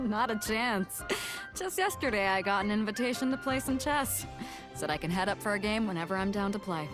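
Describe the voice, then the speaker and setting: A woman playing a confident, easygoing, warm-hearted captain in a video game, relaxed and casual in delivery. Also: mid-range voice, normal speed, over music, natural voice.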